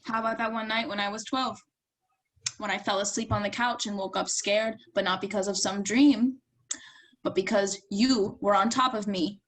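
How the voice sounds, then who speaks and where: A woman's voice: medium pitch, fairly even intonation, moderate pace, lightly acted. An actress in a staged drama reading, playing an anguished, defiant young woman trying to escape her abusive brother, pained and earnest. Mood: pained, confessional. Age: young adult.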